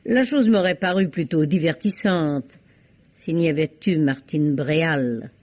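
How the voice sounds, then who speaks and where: A woman's voice: sits low, unhurried, a little mellow. A cultured, gossipy society lady in an old radio whodunit. She speaks with dry irony and careful articulation. The recording is somewhat dull and dated. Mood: wry. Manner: arch, ironic, articulate. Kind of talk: vintage French radio mystery acting.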